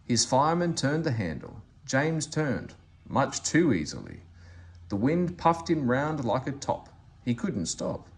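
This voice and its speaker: Man, children's storybook narration, expressive delivery, very lively pitch. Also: measured pace, gravelly. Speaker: story reader.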